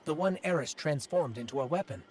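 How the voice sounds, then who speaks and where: An actor playing a studious, pensive expert in a video game, explaining in precise terms. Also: male voice, on the high side, animated, a little fast, background noise, lightly acted. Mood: intrigued. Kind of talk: cinematic video game dialogue.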